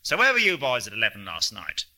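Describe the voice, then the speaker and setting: A man's voice: fairly low pitch, huge pitch swings, quick pace, sharp tone, heavily acted. A man reading a story, voicing an officious, callous police patrolman with stiff politeness.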